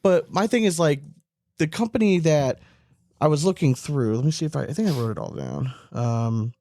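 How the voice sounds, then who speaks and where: A man's voice: fairly high pitch, big pitch swings, normal speed, mellow, dry recording. American man chatting casually, dry close sound. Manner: rambling, informal, frequent "like". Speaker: podcast co-host. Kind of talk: casual chat.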